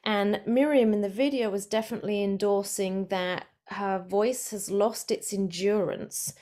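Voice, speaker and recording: A woman's voice: mid-range voice, fairly even intonation, a little slow, mellow. A woman speech therapist giving an online presentation over a video call, explaining in a friendly way with some fillers.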